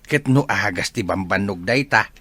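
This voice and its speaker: A man's voice: fairly low pitch, expressive, moderate pace, bright, forceful tone, dry recording. A man with an Ilocano Filipino accent playing a jovial old grandfather who loves his drink, in an audio drama.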